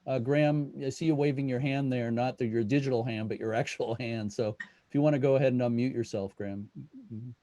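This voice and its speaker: A man's voice: mid-range voice, steady tone, brisk, warm tone, dry recording. A man casually moderating a question session on a video call as the host. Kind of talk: casual moderating of an online meeting.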